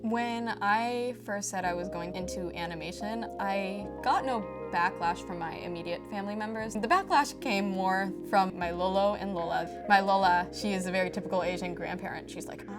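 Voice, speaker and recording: A woman's voice: medium pitch, normal speed, hardly any pauses. A young woman, an animation student, speaking candidly in a documentary interview and acting out bits of remembered dialogue. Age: young adult. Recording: music behind the voice. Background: music.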